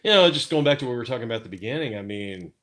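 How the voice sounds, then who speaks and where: A man's voice: medium pitch, animated, very fast, a bit bright, slight background noise. A man from Missouri with a Midwestern accent, talking as a guest in a casual interview, rambling and hesitant with many fillers and restarts. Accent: Midwestern American. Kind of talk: casual video chat interview.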